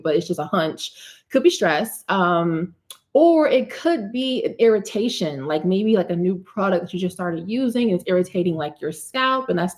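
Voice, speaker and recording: A woman in her thirties hosting a live hair care Q&A, chatty and animated, calling viewers honey and sis. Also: medium pitch. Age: 30s. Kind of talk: live stream Q&A, casual chat.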